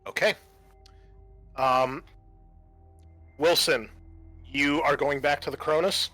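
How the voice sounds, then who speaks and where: A man's voice: fairly high pitch, steady tone, slow pace, sharp tone, no room sound, faint music behind. A man acting as game master, explaining rules and situations conversationally in a tabletop roleplaying session.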